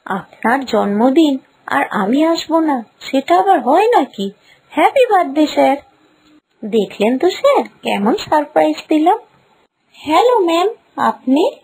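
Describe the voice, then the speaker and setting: A woman voicing an infatuated, bold young female student in an animated story, gushing and insistent. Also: high-pitched, unhurried, heavily acted, rough recording. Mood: eager, sweet.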